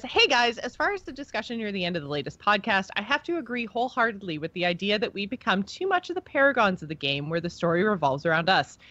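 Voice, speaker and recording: A close, dry and slightly lo-fi recording of a woman co-hosting a podcast. She has a mid-pitched voice and talks informally, with a fan's enthusiasm, about games. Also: fairly bright tone, no echo. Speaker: podcast co-host. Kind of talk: casual chat about video game lore, reading listener email.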